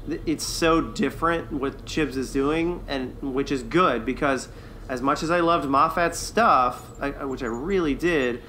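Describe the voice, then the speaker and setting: A man's voice: medium pitch, bright, forceful tone, slight background noise. A man co-hosting a review podcast, chatting casually and enthusiastically as a fan. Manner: enthusiastic, quick to chuckle.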